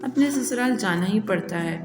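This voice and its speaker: A woman's voice: mid-range voice, expressive, normal speed, natural voice. A woman voicing an older mother bird in a children's cartoon, motherly, fussy and insistent. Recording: echoey, music behind.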